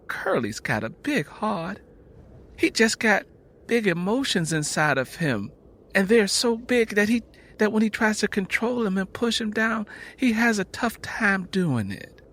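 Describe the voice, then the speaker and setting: A man's voice: high-pitched, fairly bright tone, pitched above the performer's own voice, heavily acted. A man voicing a stern, protective, weary Southern American mother who speaks firmly in rustic dialect, in an audiobook story. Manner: firm, admonishing rustic dialect.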